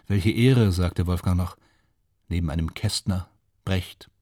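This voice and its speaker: A man's voice: deep voice, measured pace, a little mellow, dry recording, clean studio sound. A man acting in a radio drama as a vain, wistful stage and film actor from 1930s Germany, musing theatrically in clipped fragments. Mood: melancholy reminiscing. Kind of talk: radio drama monologue and dialogue.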